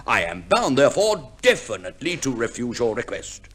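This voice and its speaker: An actor playing an amiable, self-satisfied army major in a radio play, speaking formally and earnestly. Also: male voice, medium pitch, big pitch swings, a little fast, a bit bright. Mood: earnest, agreeable. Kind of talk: 1950s radio play acting.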